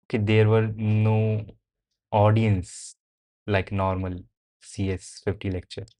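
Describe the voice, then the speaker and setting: Young man, native Hindi speaker, fairly low voice, casual stream chat, thinking aloud, answers viewers. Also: flat delivery, slow, warm tone.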